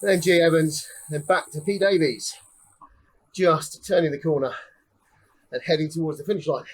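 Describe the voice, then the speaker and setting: A man's voice: fairly high pitch, steady tone, normal speed, husky, low-quality recording. A young man giving casual live commentary on a cycling race while riding, chatty and slightly out of breath. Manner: chatty, calling out finishers one by one. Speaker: ride leader and race commentator.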